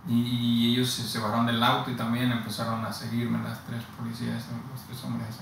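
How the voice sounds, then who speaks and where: A man in his thirties with a Honduran Spanish accent, talking haltingly and slowly, often repeating words before going on. He sits in a plain indoor room and is picked up from some distance, so his voice sounds strongly echoey. A steady hiss runs underneath. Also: medium pitch, vocal fry. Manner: slow, halting, with frequent restarts and repeated words. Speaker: migrant giving testimony. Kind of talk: personal testimony. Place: plain indoor room against a bare wall.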